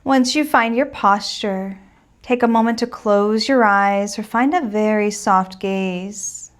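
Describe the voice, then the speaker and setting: A woman teaching a guided meditation in a gentle, soothing and reassuring manner. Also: medium pitch, slow pace.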